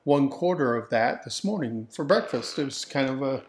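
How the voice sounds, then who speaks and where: A man's voice: mid-range voice, lively intonation, moderate pace. Easygoing man in his forties, rambly jokey home vlog talk. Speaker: vlog host. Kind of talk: casual chat. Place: living room, on a couch. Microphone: camera in front.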